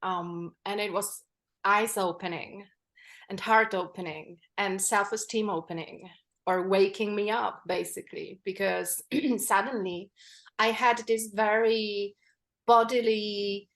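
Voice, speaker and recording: Unhurried woman, American-tinged English. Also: mid-range voice, fairly even intonation, a bit bright. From Czech Republic. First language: Polish. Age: 40s. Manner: measured, pausing with frequent fillers. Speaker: guest. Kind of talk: reflective interview talk.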